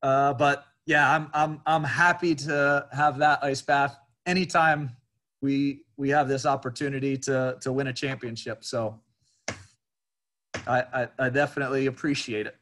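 Guy coach doing postgame presser, medium voice, kinda halting, repeats himself while thinking. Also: mid-range voice, flat delivery, a bit bright, slight background noise. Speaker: college soccer head coach. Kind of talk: press conference answers.

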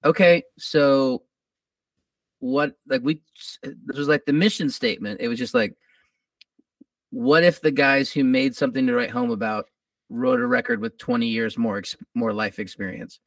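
A man's voice: mid-range voice, normal speed, gravelly, no room sound, distant mic. Middle-aged male guest, slightly off-mic and dry, laid-back and a touch groggy. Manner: laid-back, lively, swears casually. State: a little groggy. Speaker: musician guest. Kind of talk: casual interview.

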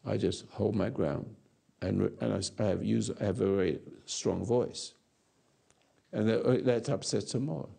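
A man in his eighties giving a rambling philosophical talk to an audience on a lapel mic, halting and repeating his words. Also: on the low side, creaky, mellow, breathy edge. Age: 80s. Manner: gestures with hands while talking. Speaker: speaker answering audience. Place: dark stage or studio.

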